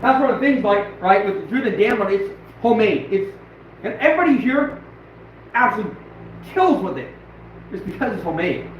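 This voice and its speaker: A hoarse, fairly high-voiced man hosts a rambling outdoor livestream, laughing often and getting worked up. He sounds distant from the phone, and the audio is heavily echoey and dull. A faint hiss sits underneath. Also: brisk. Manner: rambling, laughing, blunt and excitable. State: hoarse, losing his voice. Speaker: trapper and channel host. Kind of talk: casual livestream chat.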